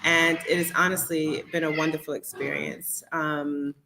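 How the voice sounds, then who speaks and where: A woman's voice: low-pitched, level tone, moderate pace, creaky, noisy background. An American woman from New Jersey, talking casually with giggles as a parent on a video call. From New Jersey, USA. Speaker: parent on a panel.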